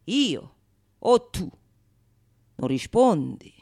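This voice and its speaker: Actress as crafty painter in stage farce, man of honour, lowered voice, menacing, declamatory. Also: very animated, extremely slow, a little mellow, exaggerated voice. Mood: challenging. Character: sly, bold male painter, righteously accusing impostor. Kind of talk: theatrical radio monologue of Renaissance comedy.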